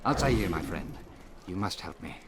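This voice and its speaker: A man's voice: low-pitched, lively intonation, normal speed, loud music behind the voice. An actor playing an anxious male assassin who pleads apologetically for help. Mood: pleading.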